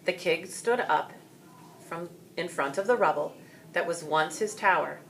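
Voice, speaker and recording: A woman reading a story aloud as expressive scripted narration. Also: lowish voice, measured pace, creaky, a little hiss or hum. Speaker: storybook reader.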